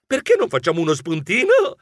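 A man's voice: sits high, wildly swooping pitch, a little fast, no echo, clean studio sound, heavily acted. A man playing a timid, fussy, easily flustered male rodent hero in an audio storybook, theatrical and whiny. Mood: reluctant.

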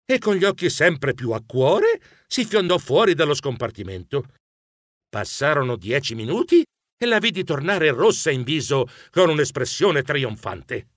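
Bashful, fretful gentleman mouse narrator, children's audiobook. Fairly high pitch, animated swings, close dry sound. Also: normal speed, no room sound. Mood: bashful storytelling. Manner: expressive, fretful, easily embarrassed. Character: anxious, bashful gentlemanly male mouse, telling his adventure.

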